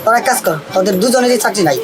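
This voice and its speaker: A woman's voice: medium pitch, rapid-fire, natural voice. A woman playing a stern, fed-up shop owner in a comic drama, commanding and scolding with a Bangladeshi accent. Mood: angry. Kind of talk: comic short-drama acting. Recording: loud music and crowd noise behind.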